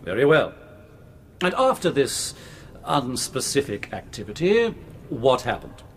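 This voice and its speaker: Animated, pompous prosecuting barrister. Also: male voice, mid-range voice, a little slow, a little hiss or hum. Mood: probing. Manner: pointed, pompous, insistent. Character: forceful, theatrical male prosecuting barrister. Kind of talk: period courtroom radio drama.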